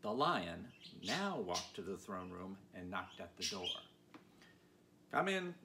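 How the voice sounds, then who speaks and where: Narrator: man, low voice, storybook reading, noticeable background noise. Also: big pitch swings, unhurried, gravelly. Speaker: audiobook reader.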